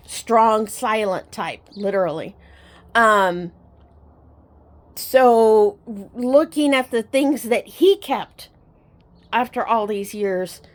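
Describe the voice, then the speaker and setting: A middle-aged woman sharing personal memories in a casual, reflective, sentimental and halting way, like a vlogger talking to her viewers. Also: mid-range voice. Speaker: storytelling vlogger. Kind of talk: personal reminiscing to camera, casual chat.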